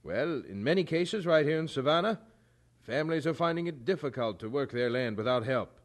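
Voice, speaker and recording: A man acting in an audio drama as a firm, earnest colonial chaplain, speaking in a formal, measured and articulate way. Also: on the high side, animated, lightly acted. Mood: firm, reasoned.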